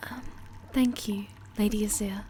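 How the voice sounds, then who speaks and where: A woman playing a small, sweet, timid moth girl in an ASMR fantasy roleplay, breathy and hesitant, politely stammering. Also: warm tone, slight background noise. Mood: flustered, polite. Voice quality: high, breathy.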